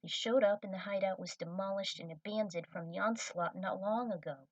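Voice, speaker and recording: A woman's voice: medium pitch, fairly quick, no room sound, distant mic, muffled recording. Woman narrating a story, dry sound.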